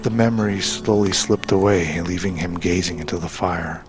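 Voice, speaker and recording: A man narrating a dramatic scripted story in a measured, solemn way, with phrases sometimes falling hushed and breathy. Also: sits low. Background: background music.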